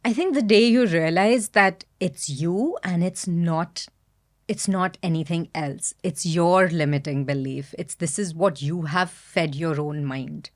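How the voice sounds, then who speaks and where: A woman's voice: lowish voice, a little slow. A woman from India with an Indian accent, speaking candidly and thoughtfully as a podcast guest. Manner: soft-spoken, candid, thoughtful. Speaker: guest, business founder. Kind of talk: reflective podcast interview.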